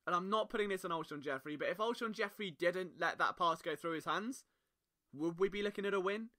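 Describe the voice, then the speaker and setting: A man's voice: high voice, brisk, bright, forceful tone. A man hosting a solo sports podcast, talking with passion and emphatic rhetorical questions. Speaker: podcast host.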